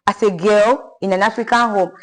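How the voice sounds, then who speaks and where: A young woman from Nigeria, a polite podcast guest who says ma'am and has a naturally loud voice. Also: mid-range voice, fairly even intonation, normal speed, rough recording. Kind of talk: podcast interview.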